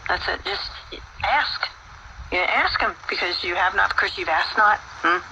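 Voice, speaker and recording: A woman's voice: medium pitch, normal speed, sharp tone, slight background noise, poor audio. A woman hosting a radio show, talking casually in a folksy, earnest and devout manner. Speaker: radio host and herbalist. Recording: radio broadcast with prominent music.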